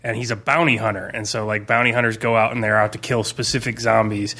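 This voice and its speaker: A man's voice: fairly low pitch, flat delivery, quick pace, vocal fry, pushed and bright. A man chatting casually as a podcast co-host, rambling with slangy, emphatic enthusiasm. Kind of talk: casual podcast chat about zombie fiction and comics.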